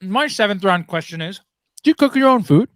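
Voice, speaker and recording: A man co-hosts a comedy podcast with an excitable, jokey energy. His voice is high and very lively, jumping sharply up and down as he mock-brags. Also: a little fast, dry recording, clean studio sound. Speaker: podcast co-host. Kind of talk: casual comedic chat.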